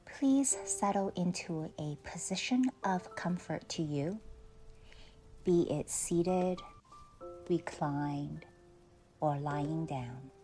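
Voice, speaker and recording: A woman leading a guided meditation in a soft, soothing, unhurried way with long pauses, often trailing off into breathiness. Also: deep voice. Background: faint music.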